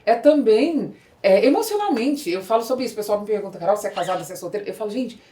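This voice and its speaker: A woman's voice: medium pitch, animated, quick pace, dark tone. A Brazilian woman hosting a conversational podcast interview, speaking emphatically and repeating phrases for effect. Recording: echoey room.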